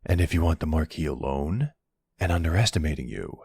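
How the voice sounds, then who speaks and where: Man acting a very deep, gravelly flirty vampire. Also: sing-song, fairly quick, no room sound, studio quality, heavily acted. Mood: persuasive.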